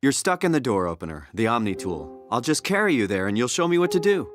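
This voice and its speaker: A man's voice: medium pitch, very animated, fast, over music, close to an everyday voice. An actor playing a bewildered, earnest everyman in a video game, with a questioning, hesitant manner. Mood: determined. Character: bewildered, earnest everyman whose mind is in a machine body.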